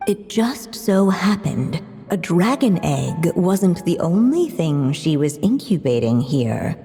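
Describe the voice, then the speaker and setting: A woman voicing a calm female narrator who tells a storybook tale in a game, measured and gently teasing. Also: fairly low pitch, faint music behind, full character voice. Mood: storytelling. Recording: long hall reverb.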